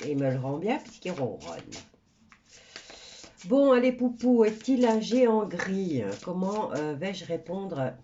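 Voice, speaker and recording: A woman's voice: mid-range voice, lively intonation, takes it slowly, dark tone, lo-fi sound, slight background noise. A woman with a stuffy cold doing a casual tarot reading for viewers, chatty and rambling with many hesitations. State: stuffy nose from a cold, coughing. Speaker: tarot card reader.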